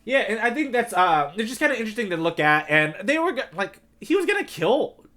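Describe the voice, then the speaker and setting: A man co-hosting a podcast in casual discussion, analytical and reasoning out loud through questions. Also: sits high, big pitch swings, fairly quick. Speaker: podcast co-host.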